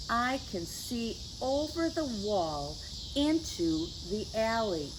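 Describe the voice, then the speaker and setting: Woman reading slowly to children, noisy outdoor setting. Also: fairly high pitch, sing-song. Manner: gentle teacherly address, tag questions to the listeners. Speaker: storyteller reading picture books to children. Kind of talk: read-aloud story time for young children with chatty asides.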